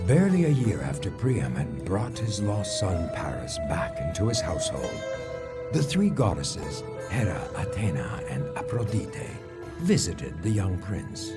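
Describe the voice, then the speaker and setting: Grave epic game narrator: very low voice, unhurried and solemn, swelling and dropping for drama. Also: male voice, exaggerated voice. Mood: solemn, ominous. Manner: solemn, measured, storytelling. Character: grave male storyteller recounting the Trojan War. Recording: loud music under the voice.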